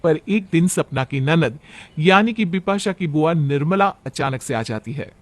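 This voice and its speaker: A man's voice: on the high side, animated, measured pace, no echo. A man narrating a scripted family story for a cartoon.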